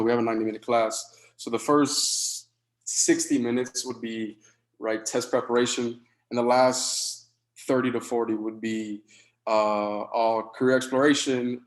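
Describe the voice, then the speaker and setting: A man explains his classroom project over a video call. He is thoughtful and conversational, and he often checks in with "right?" The sound carries a noticeable room echo. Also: medium pitch. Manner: thoughtful, fills pauses with "right" and "you know". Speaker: teacher presenting his project.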